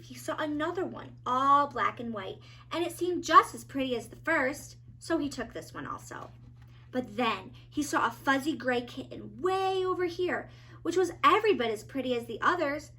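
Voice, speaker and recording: A woman narrating a children's storybook as a teacher, with expressive, dramatic emphasis. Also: high-pitched.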